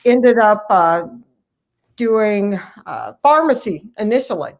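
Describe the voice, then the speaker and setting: A middle-aged woman with an American accent talks as a guest in an interview. She tells personal stories in a conversational style, at a medium pitch. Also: lively intonation, slow. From Cleveland, Ohio, United States. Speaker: interview guest, family physician.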